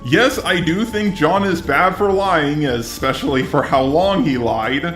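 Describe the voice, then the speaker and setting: A synthetic text-to-speech man's robot voice delivering flat, self-deprecating commentary. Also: fairly high pitch, measured pace. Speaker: YouTube commentator responding to criticism. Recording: echoey, faint music behind.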